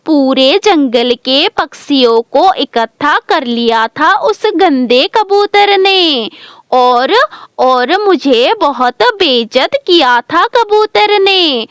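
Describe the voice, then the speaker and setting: A woman's voice: sits high, lively intonation, takes it slowly, a bit bright, no room sound, studio quality, exaggerated voice. A woman voicing a spiteful, scheming female crow in a children's cartoon story, with a scornful, insistent tattling manner. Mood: resentful, vengeful. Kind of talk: children's animated moral story acting.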